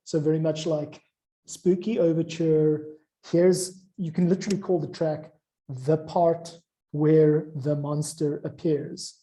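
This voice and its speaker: A man's voice: fairly high pitch, level tone, slow pace, warm tone, low-quality recording. A man in an informal, discursive chat, explaining his ideas as he goes. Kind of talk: informal chat about craft.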